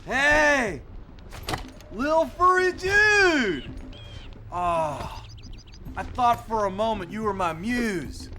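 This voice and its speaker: A man voicing a laid-back, dreamy sculptor in a video game cutscene, drawling in a mellow, spacey way. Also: very high-pitched, expressive, very slow, long pauses, soft background music, heavily acted. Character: laid-back male sculptor, dreamy surfer-dude type.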